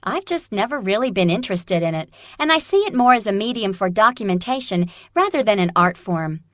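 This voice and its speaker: A woman playing a practical young student in a scripted, measured and clearly enunciated listening-test dialogue. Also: medium pitch, fairly bright tone, no room sound, studio quality, natural voice. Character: practical female student weighing art courses.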